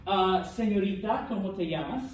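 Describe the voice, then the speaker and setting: A male language instructor giving a relaxed classroom lecture, joking and checking in with students often. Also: sits high, a little fast. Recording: echoey classroom from a distance, faint noise.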